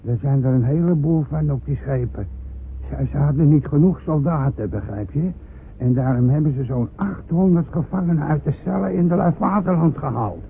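A man's voice: a little high, normal speed, vocal fry, slight background noise. Stammering old village man, tinny recording. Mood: urgent, earnest. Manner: stammering, hesitant. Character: timid, bumbling old villager, nervously loyal. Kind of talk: radio drama acting.

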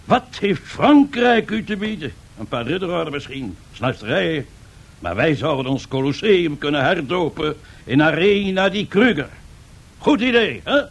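Strutting Italian fascist leader from a radio play. His husky, creaky voice sits a little high. He speaks in pompous, self-admiring rhetoric, grand and boastful throughout. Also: sing-song, moderate pace, exaggerated voice. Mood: boastful persuasion. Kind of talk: 1970s radio drama acting.

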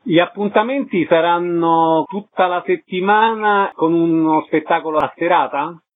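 Higher-voiced man hosting, thin radio sound. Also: measured pace, no room sound, phone-quality sound. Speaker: radio interviewer, theatre show host. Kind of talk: radio interview. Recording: thin band-limited radio phone sound.

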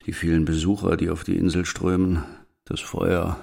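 Blunt probing cop in a radio play, really deep creaky voice. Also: male voice, level tone, moderate pace, darkish tone. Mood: probing, dry. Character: probing, blunt police investigator. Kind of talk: German radio drama acting.

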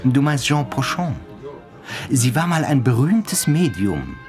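An actor playing an earnest, inquisitive hospital doctor in a German radio play, with an emphatic, probing manner. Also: male voice, mid-range voice, big pitch swings, takes it slowly, music in the background. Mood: thoughtful.

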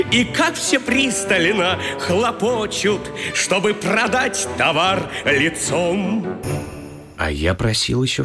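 An actor playing a polite but sardonic gentleman suitor in a radio vaudeville, mannered and courteous, with ironic asides. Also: high-pitched, heavily acted. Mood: irritated. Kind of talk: Russian radio play of a vaudeville comedy.

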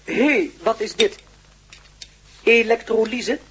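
An actor in a Dutch radio play voicing a polite, calm alien from an ancient race, speaking formally and matter-of-factly. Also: mid-range voice. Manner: formal, measured, matter-of-fact. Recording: noisy radio play recording.

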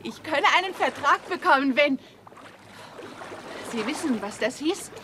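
Seductive, high-voiced Hollywood film star. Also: female voice, lively intonation, unhurried. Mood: frank, bitter. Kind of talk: 1960s German radio crime drama acting. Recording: 1960s radio recording, faint background noise.

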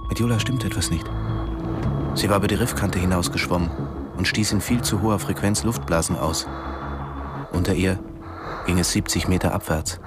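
An actor playing a reserved diving instructor in a radio drama, terse in dialogue and sober in narration. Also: male voice, deep voice, fairly even intonation, normal speed, long pauses, over loud music, natural voice. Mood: absorbed.

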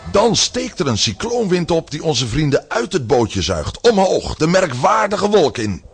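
A man's voice: on the high side, sing-song, measured pace, faint music behind. A man narrating a scripted children's audio story as a storyteller.

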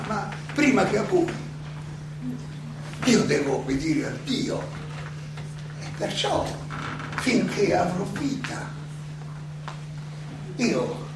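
An actor playing a defiant philosopher on trial in a theatrical monologue, declamatory and halting, with rhetorical questions, in an echoey, noisy recording. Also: male voice, sits high, full character voice. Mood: firm, affectionate. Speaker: stage actor and director. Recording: echoey hall, distant mic, clearly noisy.

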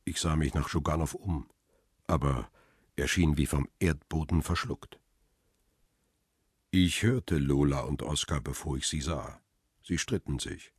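A hunted photographer narrating his own radio thriller. His voice is very deep and husky, recorded close and dry. He describes things in a measured, tense way. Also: no echo, full character voice. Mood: tense, restrained. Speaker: narrator and protagonist. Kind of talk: radio crime drama narration.

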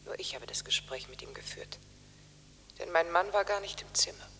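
Soft, echoing imprisoned wife. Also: female voice, lowish voice. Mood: solemn, protective. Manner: soft, fading toward a whisper, deliberate. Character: devoted prisoner's wife giving a protective confession. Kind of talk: 1970s German radio drama acting. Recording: distant long reverb, faint noise and hum.

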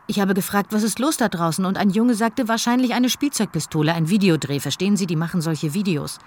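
A woman's voice: medium pitch, a little fast, darkish tone, natural voice. A woman voicing a teacher's eyewitness account as a German documentary voice-over. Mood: shaken recollection. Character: female teacher recounting a school shooting. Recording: faint background noise.

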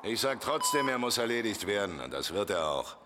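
An actor playing a blunt, curt gangster boss in a radio drama. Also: male voice, on the low side, very animated, brisk. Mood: insistent. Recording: clear background noise, sizzling ambience.

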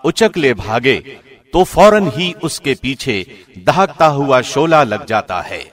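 A man reading a scripture translation formally, in the measured, solemn declamation of a radio presenter. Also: mid-range voice, big pitch swings.

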